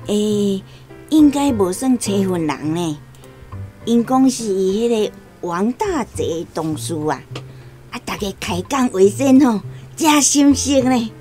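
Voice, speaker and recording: A woman's voice: medium pitch, animated, measured pace, warm tone, soft background music, close to an everyday voice. A woman playing an elderly, chatty, trusting Taiwanese grandmother in a radio drama, with a plaintive, folksy way of talking. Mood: friendly, explaining.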